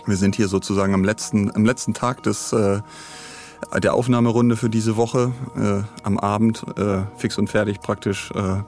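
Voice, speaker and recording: A man's voice: on the low side, level tone, moderate pace, a little mellow, faint music behind. A man co-hosting a podcast in casual chat, rambling along with dry humour in a studio. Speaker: podcast co-host. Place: recording studio.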